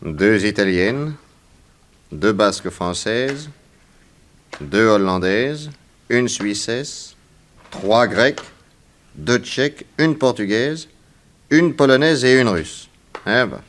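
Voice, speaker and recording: An actor playing a shrewd, genial, self-assured private detective in a French radio drama, conversational and analytical. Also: male voice, on the low side, expressive, takes it slowly, long pauses, a bit bright, slight background noise. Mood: pondering, assured.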